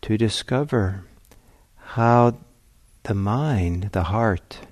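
A man teaching meditation in a gentle, reflective way, pausing often as he searches for phrasing. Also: lowish voice, no echo. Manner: gentle, hesitant, reflective phrasing with long pauses. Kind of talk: guided meditation talk.

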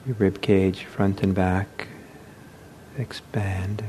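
A man leading a guided meditation as a teacher, speaking gently and unhurriedly with halting pauses. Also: level tone. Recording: low rumbling background noise.

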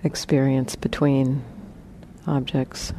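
A woman leading a guided meditation as a teacher, speaking gently in short, spaced phrases with long pauses. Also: extremely low pitch, flat delivery, slight background noise.

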